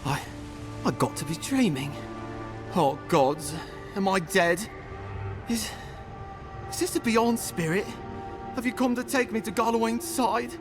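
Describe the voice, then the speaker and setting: High-voiced, panicky wounded young man. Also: unhurried, pauses often, over music. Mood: dazed, awed. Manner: stammering, pleading, panicky. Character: frightened naive wounded young man, whiny and dazed. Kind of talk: video game voice acting.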